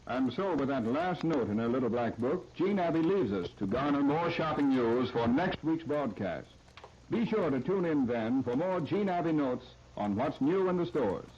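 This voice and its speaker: A man's voice: mid-range voice, animated, moderate pace, darkish tone. An American man working as a station announcer, reading scripted continuity in a 1930s radio style. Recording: dull, lo-fi 1939 radio disc recording.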